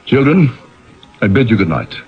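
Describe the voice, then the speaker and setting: An actor playing a middle-aged writer in a 1970s radio drama, theatrical, bitter and sardonic, fond of grand pronouncements. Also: male voice, medium pitch, sing-song, moderate pace, breathy edge. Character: brooding, grandiloquent male author, bitter and sardonic. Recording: dull lo-fi 1970s radio broadcast.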